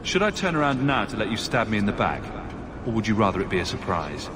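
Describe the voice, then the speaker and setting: A wry, defiant fantasy game hero. His lowish voice moves in a lively way as he quips sardonically, with clear ambient noise around him. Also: quick pace. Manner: sardonic, quipping.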